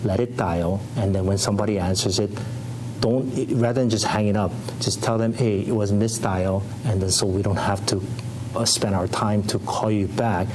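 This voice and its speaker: A man giving an unscripted interview as an official, explaining plainly in halting, loosely built sentences. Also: fairly low pitch, steady tone, darkish tone, slight background noise, poor audio. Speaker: 911 call center official being interviewed.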